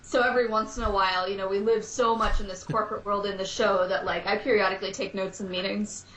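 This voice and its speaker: Lady being interviewed, casual halting talk, very roomy sound, slight noise behind. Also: mid-range voice, flat delivery, a bit bright. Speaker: podcast guest, audio drama maker.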